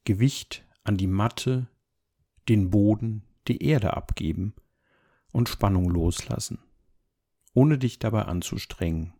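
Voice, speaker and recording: A man leading a guided meditation as an instructor, speaking calmly and gently in measured, unhurried phrases. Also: lowish voice, slightly rough. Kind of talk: guided body scan meditation, soft instruction.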